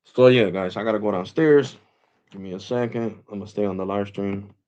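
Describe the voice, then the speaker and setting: A man's voice: lowish voice, brisk, a little mellow, lo-fi sound. A man in his forties hosting a live stream, chatting casually and rambling, saying "bro" and "guys" often. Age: 40s. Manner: switches to Spanish with family.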